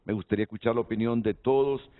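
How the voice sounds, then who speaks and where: A man's voice: medium pitch, fairly even intonation, fast talker. A man hosting a TV panel, posing questions and inviting guests' opinions with hesitant restarts. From Honduras. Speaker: TV talk show host. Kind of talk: moderating a panel discussion. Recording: tinny lo-fi broadcast audio.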